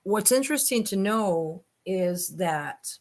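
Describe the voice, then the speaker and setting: A woman's voice: mid-range voice, animated, slow pace, noisy background. A woman reading a transcript aloud and commenting informally, addressing listeners directly with skeptical asides. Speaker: online commentator and host.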